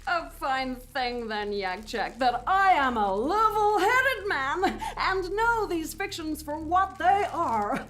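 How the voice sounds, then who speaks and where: A woman playing a level-headed, skeptical gentleman who hesitates and then scoffs, in a live stage radio play. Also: sits high, big pitch swings, slow, few pauses, full character voice. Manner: hesitant, with frequent "uh"s, then dismissive.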